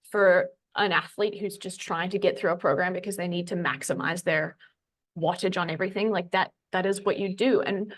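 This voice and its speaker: A woman talking casually as a podcast guest, informal and self-deprecating, phrasing things loosely. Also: medium pitch. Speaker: podcast guest, fitness coach. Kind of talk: casual conversational talk.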